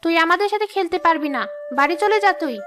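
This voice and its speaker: Woman voicing a haughty, shrill-high cartoon bully girl. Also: fast talker, background noise. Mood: dismissive. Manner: haughty, mocking. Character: snobbish, taunting young girl, spiteful playmate. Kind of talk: cartoon voice acting, moral story.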